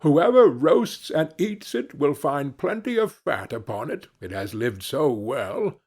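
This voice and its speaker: A man's voice: mid-range voice, big pitch swings, a little slow, darkish tone. A canny countryman from a fairy tale, voiced by a male narrator, proud and persuasive. His folksy speech rises and falls widely in a middle register. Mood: boastful.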